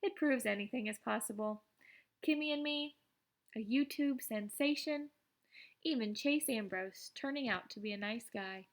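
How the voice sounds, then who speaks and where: Woman reading as an excitable teenage schoolboy, high voice. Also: normal speed, natural voice. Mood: amused, excited. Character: excitable, boastful, self-deprecating teenage schoolboy telling his story. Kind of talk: first-person audiobook narration.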